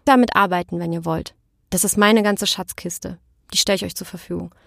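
A woman speaking as an interview guest in a candid, colloquial and expressive way, telling personal stories casually. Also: on the low side, brisk, gravelly, no room sound, clean studio sound.

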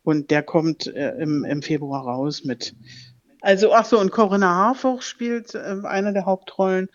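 A woman's voice: fairly low pitch, measured pace, dry recording, muffled recording. An older woman from Berlin, an actress and voice actress, talking frankly and emphatically as an interview guest. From Berlin, Germany. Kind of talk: interview guest, casual chat.

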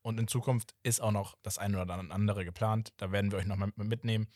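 A man's voice: fairly low pitch, fairly even intonation, fast, darkish tone, no room sound, clean studio sound. A young man co-hosting a casual football podcast, speaking hesitantly with many fillers and promoting the show. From Portuguese background. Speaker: podcast co-host.

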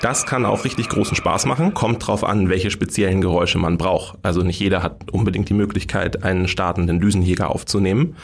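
Very deep, creaky-voiced man. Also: a little fast, few pauses. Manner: informal, step-by-step explaining. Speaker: radio play workshop leader, interview guest. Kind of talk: explanatory interview talk.

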